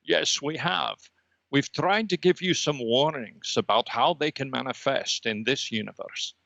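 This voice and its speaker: A man voicing a serene extra-dimensional light being with formal, solemn, halting speech on a comedy podcast. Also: mid-range voice, vocal fry, sharp tone, no echo, muffled recording. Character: lofty extra-dimensional red dot energy being, serene and cosmic. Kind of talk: improvised comedy podcast character.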